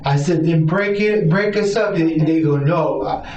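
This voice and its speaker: A man's voice: fairly high pitch, a little mellow. Guy being questioned by cops, stammering and rambling, defensive, muffled far-off echoey recording. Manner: rambling, repetitive, halting with stammered restarts. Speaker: suspect being questioned by police. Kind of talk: police interrogation answers. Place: indoor police interview room. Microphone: distant room recording microphone. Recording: distant, echoey, muffled noisy interrogation recording.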